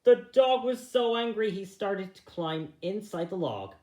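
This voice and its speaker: A man reading a children's story aloud, a little halting, going back over misread phrases. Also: high-pitched, animated, darkish tone, reverberant. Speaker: storybook reader.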